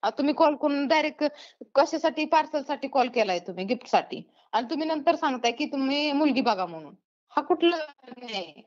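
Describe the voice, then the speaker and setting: A woman's voice: high-pitched, animated, fairly quick. A woman with a Maharashtrian accent speaking bluntly and full of questions in a casual phone conversation. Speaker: YouTuber receiving a prank call. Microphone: phone call. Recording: dull, lo-fi phone call recording.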